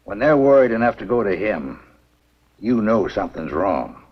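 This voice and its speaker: An actor playing a worried older small-town doctor and father in a 1950s B-movie, with a husky voice. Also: lowish voice, very animated, normal speed. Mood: grave, worried. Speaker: film actor. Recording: dull old 1950s film soundtrack.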